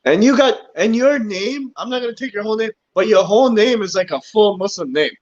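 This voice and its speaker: Male podcast host, high pitched, rapid questioner, muffled lo-fi audio. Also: animated, a little mellow. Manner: quick, probing follow-up questions. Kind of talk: interview questions.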